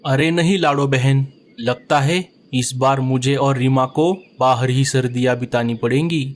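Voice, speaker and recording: A man's voice: mid-range voice, fairly even intonation, measured pace, natural voice. A man voicing a friendly male bird in a cartoon story, speaking politely and gratefully.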